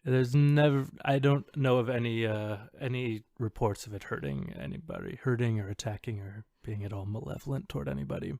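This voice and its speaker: A man co-hosting a podcast in casual chat, halting and tentative as he thinks aloud. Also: medium pitch, big pitch swings, vocal fry, a little mellow, dry recording, studio quality. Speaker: podcast co-host.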